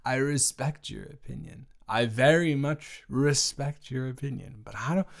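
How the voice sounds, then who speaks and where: A young American man improvising a casual roleplay chat, talking emphatically and haltingly, repeating words for stress. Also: medium pitch, gravelly. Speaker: audio roleplay performer.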